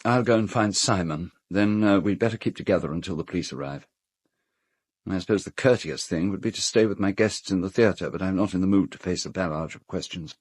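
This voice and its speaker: A courteous English gentleman of middle age in a radio play. His voice sits fairly low, and he speaks in a formal, well-bred, slightly fussy and hesitant way. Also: brisk, slightly rough. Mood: confiding. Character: fussy, courteous gentleman host of an island castle. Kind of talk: British radio drama acting.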